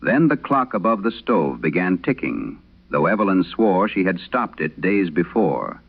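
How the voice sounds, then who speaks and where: Deep-voiced old priest played by an actor, grave and haunted. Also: male voice, sing-song, creaky, slightly rough, narrow, tinny audio, exaggerated voice. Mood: haunted, solemn. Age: older. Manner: solemn, measured storytelling. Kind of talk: dramatic scripted horror radio monologue.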